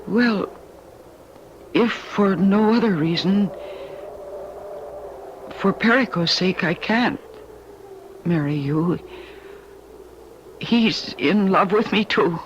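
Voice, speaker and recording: A woman plays a compassionate young heroine in a vintage radio drama. She speaks slowly and earnestly, with measured dramatic phrasing, on a dull, clearly noisy old recording. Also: mid-range voice, lightly acted. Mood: reluctant, gentle.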